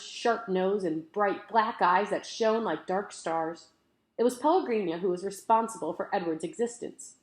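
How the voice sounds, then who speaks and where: A woman narrating a children's book aloud expressively, with careful, emphatic storytelling phrasing. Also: mid-range voice. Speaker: teacher reading aloud. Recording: roomy echo.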